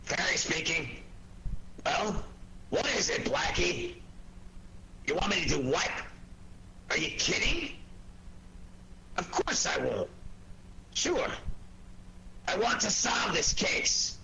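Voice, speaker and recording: Gruff impatient old-radio cop, cutting voice, bit high, light noise on the recording. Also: male voice, sing-song, slow pace, long pauses, bright, forceful tone, full character voice, rough recording. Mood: brisk, gruff. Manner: blunt, needling, impatient. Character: gruff, sarcastic police inspector in a detective drama. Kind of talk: 1940s radio acting.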